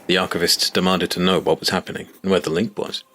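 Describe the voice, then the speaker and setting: A man reading scripted first-person fiction as narrator, in a grave, measured, dramatic way. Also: sits low, flat delivery, pushed and bright, slight background noise.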